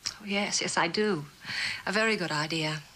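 An actress playing a neglected but loyal wife in a mid-century television drama, earnest and wistful. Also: female voice, on the low side, moderate pace, sharp tone. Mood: worried, resigned. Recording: noisy old television soundtrack.

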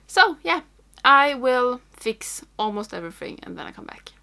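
A woman's voice: a little high, big pitch swings, moderate pace, creaky, bright, forceful tone. A young woman who speaks English as a second language, chatting through a makeup tutorial at home, rambling and thinking aloud, often dropping to a whisper as she works. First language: not English. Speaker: makeup vlogger. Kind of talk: casual tutorial chat. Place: small room at home with makeup shelves.

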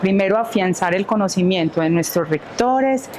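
A woman's voice: medium pitch, lively intonation, moderate pace, a little mellow, a little hiss or hum. A middle-aged woman with a Colombian accent, giving a formal interview answer as an official.

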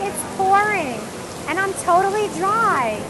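Bubbly woman host with a high voice. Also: sing-song, measured pace, very noisy. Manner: bubbly, exclamatory. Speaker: host and interviewer. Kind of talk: enthusiastic on-location hosting. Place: outdoors on a city street and inside an exhibit. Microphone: handheld microphone. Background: music.

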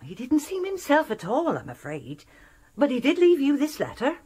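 An actress playing an anxious, deferential housekeeper with a flustered, respectful manner in a radio drama. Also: female voice, high-pitched, huge pitch swings, normal speed, darkish tone.

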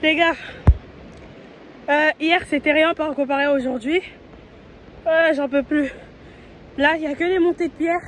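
A woman's voice: high voice, fairly even intonation, normal speed, long pauses, faint music behind, rough recording. A young woman talking casually to a phone camera outdoors, chatty and playful. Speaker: travel vlogger. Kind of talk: casual vlog talk to camera. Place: outdoors in nature. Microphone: phone at arm's length.

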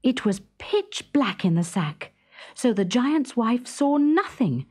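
Expressive, unhurried woman storyteller. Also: fairly high pitch. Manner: suspenseful, expressive. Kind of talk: dramatic children's storybook narration.

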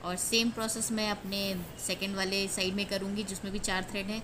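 A woman's voice: mid-range voice, flat delivery, fairly quick. An Indian woman giving a casual, step-by-step craft tutorial, explaining as she goes and mixing in English words. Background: plucked guitar music.

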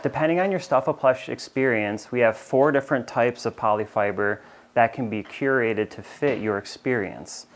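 A man in his thirties with an American accent, presenting a clear, steady explainer in a bright studio on a lapel mic. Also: medium pitch, normal speed. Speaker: company presenter. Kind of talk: product explainer. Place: bright studio with shelves of plush toys.

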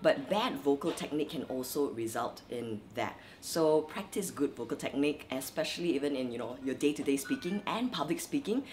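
A woman's voice: very deep voice, brisk, hardly any pauses. Chatty young woman vocal coach, scratchy. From Malaysia. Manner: lively, chatty, many fillers. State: dry scratchy voice. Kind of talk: instructional vlog.

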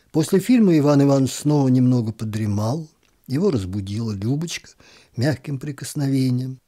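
A man narrating a literary short story in a calm, expressive reading style. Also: medium pitch, breathy edge. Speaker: audiobook narrator.

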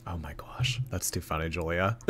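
A young man with a slightly stuffy, nasal voice, chatting casually on a live stream and reading donations. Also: deep voice. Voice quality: a little nasal. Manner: animated, laughing, reacting to chat.

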